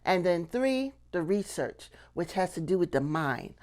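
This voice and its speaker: A woman's voice: on the low side, sing-song, measured pace, a little mellow. A middle-aged woman giving a casual instructional talk to camera, conversational, often asking questions and checking in with the listener. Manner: conversational, rhetorical questions and frequent "okay?" check-ins. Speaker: puppetry instructor and channel host.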